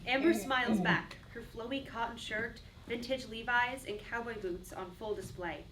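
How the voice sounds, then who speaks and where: Fairly high-voiced woman narrator, distant. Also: moderate pace, a bit bright. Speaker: narrator reading stage directions. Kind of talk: scripted narration. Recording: distant mic, clearly noisy room.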